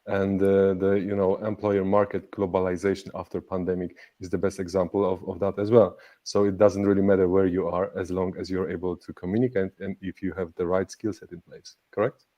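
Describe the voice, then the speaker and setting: A man hosting an interview over a video call, agreeable and halting, with many fillers. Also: deep voice, level tone, warm tone. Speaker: interview host. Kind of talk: conversational interview.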